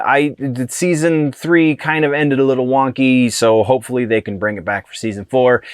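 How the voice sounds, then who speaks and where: Young US guy, excitable streaming-news host, mid-pitched, dry close sound. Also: smooth, no room sound. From United States. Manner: chatty, excitable, many restarts and fillers. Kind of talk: casual news commentary.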